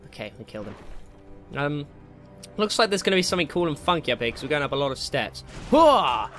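Animated, bright-voiced man doing game commentary. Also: fairly high pitch, quick pace. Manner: excitable, jokey, mildly crude exclamations. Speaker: gaming video host. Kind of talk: casual let's play commentary. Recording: game music behind the voice. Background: video game music.

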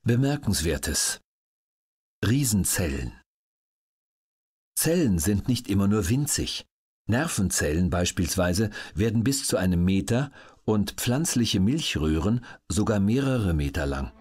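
A man's voice: fairly low pitch, sing-song, measured pace, a little mellow, breathy edge. A man announcing headings and facts in a scripted audiobook narration.

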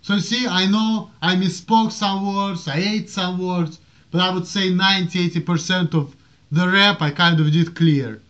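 A man's voice: high-pitched, unhurried. A man in his thirties with a strong Russian accent, ranting casually to a webcam in a small room and waving his arms as he talks. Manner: animated, waves his arms, rambling restarts. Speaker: vlogger. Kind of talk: casual rant to camera. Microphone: webcam at desk. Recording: dull lo-fi webcam audio.

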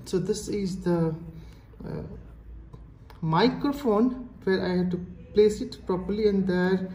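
A woman with an Indian accent giving a casual hands-on product demonstration in a halting, explanatory manner. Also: mid-range voice, dark tone, a little hiss or hum. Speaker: product reviewer. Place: indoors, tiled-floor room. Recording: echoey hard-floored room.

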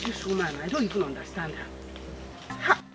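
A woman's voice: fairly low pitch, very animated, a little fast. A woman playing a brazen, quarrelsome debtor in a film drama, with a Nigerian accent and a taunting, exclamatory manner. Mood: scheming, gossipy. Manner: taunting, exclamatory, repeats questions. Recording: background music over film dialogue.

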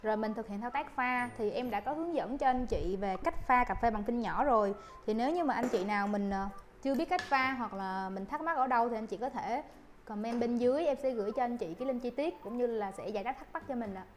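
A woman's voice: on the high side, expressive, brisk, a little hiss or hum. Polite young woman teaching coffee making. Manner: polite, addresses viewers with soft fillers. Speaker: barista instructor. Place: coffee shop counter indoors. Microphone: lapel mic.

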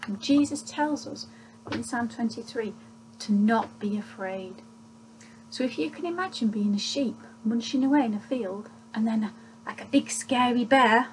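A woman gives a gentle children's church talk, asking leading questions as she tells the story, recorded on a webcam in a small room at home. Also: a little high, a little hiss or hum, poor audio. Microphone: webcam at desk distance.

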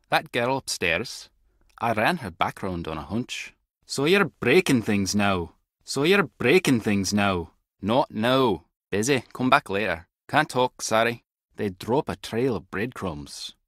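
Brisk, husky, wry spy contact. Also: male voice, on the low side, sing-song, a bit bright, no room sound, studio quality, heavily acted. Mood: brisk, guarded. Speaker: game character, spy contact. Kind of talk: video game voice acting.

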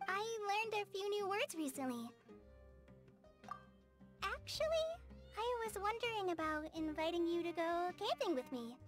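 A timid, earnest teenage girl from a video game. Her voice sits extremely high and swoops with feeling, hesitant and quick to apologise. Music plays behind her. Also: big pitch swings, heavily acted. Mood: friendly, thoughtful. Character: shy, earnest, eager teenage girl ranger trainee. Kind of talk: video game character dialogue.

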